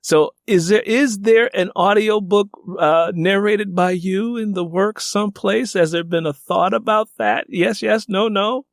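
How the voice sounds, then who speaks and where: A man's voice: high-pitched, measured pace, creaky, hardly any pauses, no room sound. Man hosting a conversational podcast, dry close sound. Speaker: podcast host and interviewer. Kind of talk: conversational book interview.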